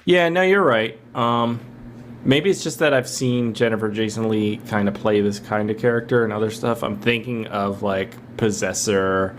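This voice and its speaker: A man's voice: on the low side, fairly even intonation, moderate pace, soft background music. A man co-hosting a film podcast, speaking in a casual, conversational way.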